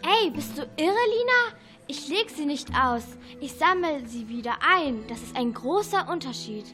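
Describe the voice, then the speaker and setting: An earnest girl, played by a female performer in a children's radio drama. She talks in a very high, indignant voice, and music plays clearly behind her. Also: lively intonation, normal speed, bright, forceful tone. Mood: indignant, defensive. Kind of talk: children's radio play acting.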